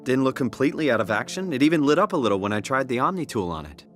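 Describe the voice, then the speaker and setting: Male actor as earnest everyman lost in a machine body, game drama, hesitant, animated intonation, music underneath. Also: mid-range voice, rapid-fire, natural voice. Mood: determined. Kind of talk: video game voice acting.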